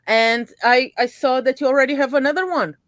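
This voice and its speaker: A woman hosts an interview in English, her second language, and she pauses and repeats words as she feels her way through each sentence. Her voice is dry and close, with no room sound around it. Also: high-pitched, fairly even intonation, fairly bright tone, dry recording. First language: not English. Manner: halting, repeats words, non-native phrasing. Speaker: interview host. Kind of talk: casual interview chat.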